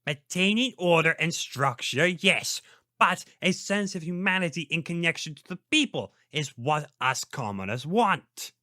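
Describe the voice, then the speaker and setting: An elderly priest in an audio drama, pompous and forever wounded. The delivery swings dramatically up and down in a bright, piercing tone. It is dry and close, theatrical and full of sardonic indignation. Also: male voice, fairly high pitch, animated, unhurried, no room sound. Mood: sly, exasperated. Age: older. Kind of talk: theatrical audio roleplay acting.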